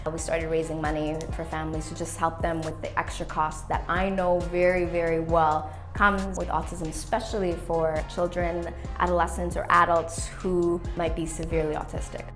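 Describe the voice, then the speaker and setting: A young woman in her twenties speaks in a slightly low voice, earnest and reflective as she is interviewed for a documentary. She talks with speech and a motivational drive, and faint music plays softly behind her. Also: little pitch movement, moderate pace, few pauses. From Canada. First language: English. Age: 20s. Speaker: playwright and youth worker.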